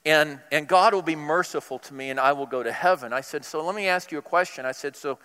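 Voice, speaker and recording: A man preaching as a pastor, telling a personal story in a conversational, animated way and acting out both sides of the dialogue. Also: a little high, fast, clear, resonant tone. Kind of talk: sermon storytelling. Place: large hall, speaking to a congregation. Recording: reverberant hall.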